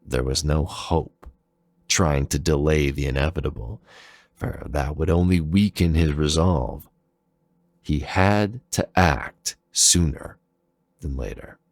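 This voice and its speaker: A man's voice: very deep voice, slow, no echo. A man narrating a dramatic story in a solemn, theatrical manner.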